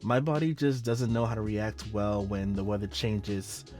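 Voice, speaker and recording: Guy with a cold, kinda nasal, rambling upbeat vlog intro, soft music under it. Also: on the low side, fairly even intonation, normal speed. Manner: chatty, upbeat, lots of filler words. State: sick, a bit nasal. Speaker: photography vlogger. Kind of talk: casual vlog intro to camera. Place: indoors at home. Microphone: small wireless mic clipped to his hat.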